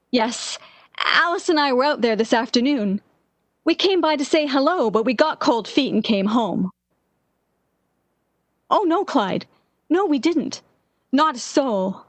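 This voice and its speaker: An actress playing an obsessed, manipulative woman in love, theatrical and deliberate, in 1940s radio drama style. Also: high-pitched, dry recording.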